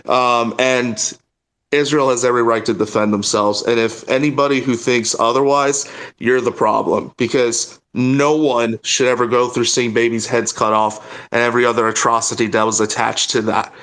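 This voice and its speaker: A man in a live audio space speaks fervently, often restarting his sentences. He fills his pauses with ums as he presses his point. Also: medium pitch, fairly even intonation, fairly bright tone. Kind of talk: impassioned political statement.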